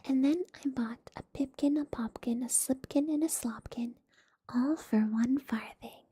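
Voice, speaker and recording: A woman's voice: high voice, measured pace, warm tone, slightly rough, full character voice. A woman voicing a chorus of cheeky tiny mice, whispering their nursery rhymes in a sing-song chant. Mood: gleeful.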